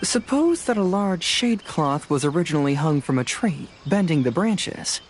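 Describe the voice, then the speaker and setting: A man voicing a sharp young male detective in a video game, speaking in a measured, deliberate way as he reasons things out. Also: fairly high pitch, big pitch swings, noisy background. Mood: analytical. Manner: measured, deliberate deductive speech. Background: game soundtrack music.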